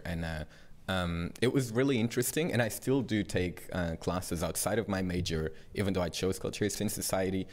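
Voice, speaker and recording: Young male student, from Portugal, deep low register, hesitant and filler-heavy, studio panel desk mic. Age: young adult. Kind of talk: casual panel answers. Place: bright studio set. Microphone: desk microphone.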